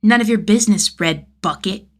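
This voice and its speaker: A woman's voice: mid-range voice, normal speed, pitched above the performer's own voice, natural voice. A suspicious little boy as acted by a woman reader speaks in a lifted, prim voice. He is pointed and bossy, standing his ground in an audiobook story. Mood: defiant, bossy.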